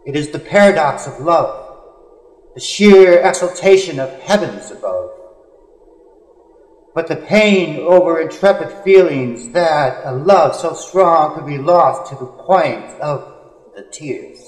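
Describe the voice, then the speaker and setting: A man reading poetry in a solemn, dramatic style, with earnest, measured phrasing and drawn-out pauses. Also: on the high side, lively intonation. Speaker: poet. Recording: echoey, long hall-like reverb.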